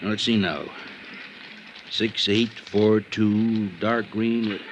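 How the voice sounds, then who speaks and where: A man's voice: fairly low pitch, flat delivery, background noise. An actor playing a folksy, glib, blustering used-car salesman in a vintage radio drama. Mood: obliging. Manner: glib, folksy, fast to protest. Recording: old-time radio recording with music.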